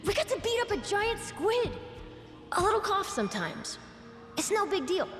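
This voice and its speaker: A woman voicing an earnest, brave, sickly young boy in a video game, gentle and slightly hesitant. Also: very high voice, sing-song, normal speed. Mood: reassuring. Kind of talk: scripted video game cutscene acting. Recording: echoey hall with faint music.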